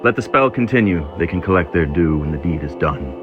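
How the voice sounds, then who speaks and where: A man's voice: low voice, expressive, a little fast, highs cut off, over music. A man playing a determined, stern cult leader in an audio drama, speaking with firm, formal command. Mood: firm, resolute.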